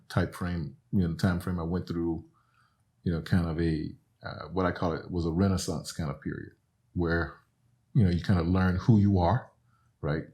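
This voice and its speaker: A man's voice: sits low, a little mellow. A middle-aged man from Alabama, a professor talking earnestly as a podcast guest, often tagging "right?" and "you know". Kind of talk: reflective conversational explanation.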